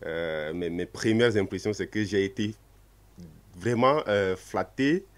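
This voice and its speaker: A man answers as a guest in a broadcast studio. His voice is very low, and he talks politely, pausing often on filler sounds. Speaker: music artist guest. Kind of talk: studio interview chat.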